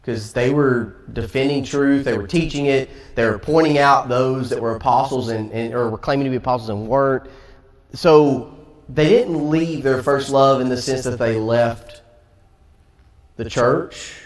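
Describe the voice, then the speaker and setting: A man's voice: medium pitch, a little slow. A man with a Southern American accent teaching a Sunday Bible class in a conversational way, acting out quotes as he goes. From Tennessee. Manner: conversational, acts out quotes, light laughs. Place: church auditorium. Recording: echoey room, from far off.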